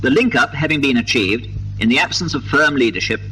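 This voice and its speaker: A British man delivering formal, precise, measured scripted narration for a 1950s documentary, on an old film soundtrack. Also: mid-range voice, lively intonation, bright, forceful tone, a little hiss or hum, low-quality recording.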